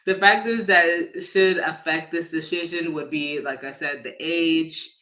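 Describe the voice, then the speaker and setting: A man with a fairly high, very bright voice explains things in an informal live-talk style. His delivery is casual and halting, with sentences often restarted and reworded as he goes. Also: fairly even intonation. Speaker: insurance agent giving a financial education talk.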